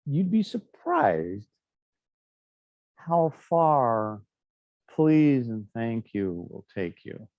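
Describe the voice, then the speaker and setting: A man's voice: medium pitch, warm tone, no room sound, muffled recording. A middle-aged man teaching a class in a chatty, anecdotal way, with frequent fillers and asides. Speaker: instructor. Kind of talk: classroom lecture.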